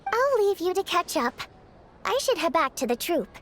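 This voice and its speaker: A woman voicing a youthful, earnest and thoughtful female companion in a story game, explaining things in a measured way. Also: very high-pitched, sing-song, a bit bright, slight background noise, heavily acted. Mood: polite, friendly. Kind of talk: scripted game story dialogue.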